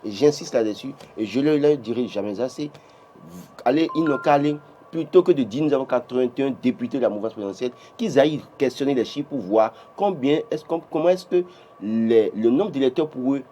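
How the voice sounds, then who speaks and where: A man's voice: mid-range voice, lively intonation, brisk, dark tone, lo-fi sound, faint music behind. A man with a Beninese French accent giving political commentary on a morning TV show, emphatic and full of restarts. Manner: emphatic, many restarts, rising when insisting. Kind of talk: morning TV press review.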